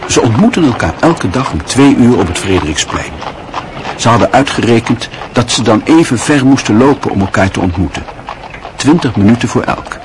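A man narrating a radio play in a measured, expressive storytelling manner. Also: medium pitch, noisy background.

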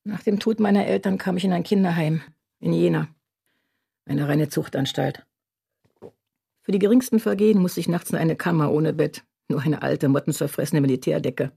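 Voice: medium pitch, fairly even intonation, normal speed, creaky, warm tone, breathy edge. An actor delivering an intimate confessional monologue about a lonely childhood, in a radio drama.

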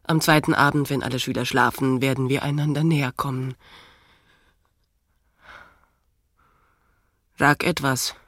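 An exasperated bride in a radio drama, husky, scolding and insistent, very dry sound. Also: female voice, extremely low pitch, fairly even intonation, brisk, fairly bright tone, no echo. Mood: resolute. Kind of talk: radio play dialogue, often hushed.

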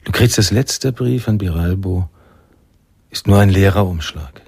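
A reflective noir storyteller in a radio drama. His voice is deep, dry and gravelly, telling a scripted jazz tale. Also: normal speed, darkish tone, no echo, full character voice. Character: male first-person narrator of a noir jazz tale, reflective.

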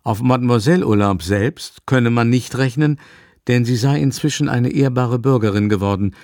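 An actor as the urbane narrator of a radio play from an old novel, measured, literary and wry. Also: male voice, mid-range voice, darkish tone, dry recording, clean studio sound, natural voice. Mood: matter-of-fact. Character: urbane storyteller narrating a 19th-century novel. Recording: music playing under the voice.

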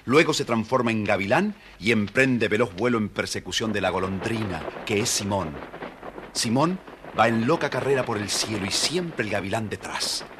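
A man narrating a children's story from a script in an expressive, dramatic storytelling manner. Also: fairly low pitch, moderate pace, slight background noise.

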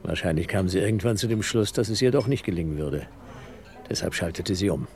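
An actor playing a troubled theatre director under suspicion in a German radio drama, earnest and probing. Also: male voice, low voice, lively intonation, a little fast, a little mellow. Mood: urgent, probing.

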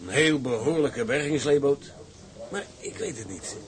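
An actor playing a plain-spoken seaman and business partner, measured and understated, in drama dialogue. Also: medium pitch, animated, natural voice. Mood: appraising. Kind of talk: Dutch audio drama dialogue.

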